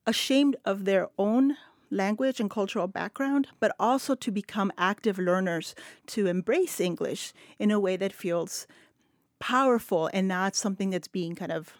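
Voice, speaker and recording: A middle-aged woman from West Texas, a Spanish native speaker, talks as a panel guest in an earnest, reflective way. Her sentences run long and flow steadily, at a middle pitch. The recording is close and dry, with no room sound. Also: normal speed, no echo. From El Paso, West Texas. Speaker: literature professor, guest. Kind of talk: panel discussion.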